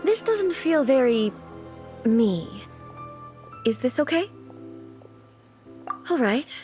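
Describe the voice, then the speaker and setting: A woman voicing a composed, regal goddess-ruler who speaks in a calm, measured, thoughtful way in a video game. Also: sits high, soft background music, exaggerated voice. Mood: bemused.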